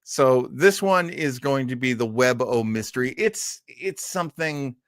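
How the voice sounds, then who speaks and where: A man's voice: mid-range voice, animated, a little slow, no echo, clean studio sound. A man hosting a live show in a casual, rambling way, self-deprecating and scattered, often hesitating as he goes. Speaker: livestream host.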